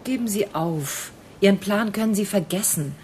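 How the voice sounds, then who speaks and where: An actress in a radio drama playing a proud, defiant doctor with a haughty, cutting and formal way of talking. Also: female voice, mid-range voice, normal speed, a little mellow, a little hiss or hum, lightly acted. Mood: cold, defiant. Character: proud, defiant woman doctor held by criminals.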